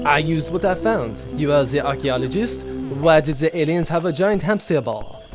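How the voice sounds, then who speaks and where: An excitable engineer acted by a man in a comic audio adventure. He sounds harried and animated, fairly high, with music beneath. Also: normal speed, close to an everyday voice. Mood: harried, defensive. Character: excitable, harried French male engineer and trap-builder.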